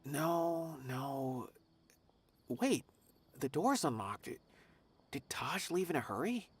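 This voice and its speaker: A man's voice: on the high side, expressive, slow pace, slightly rough, full character voice. A man playing an earnest, conscientious investigator in a video game, speaking carefully and thoughtfully with polite concern. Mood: hesitant, concerned. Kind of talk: English video game voice acting.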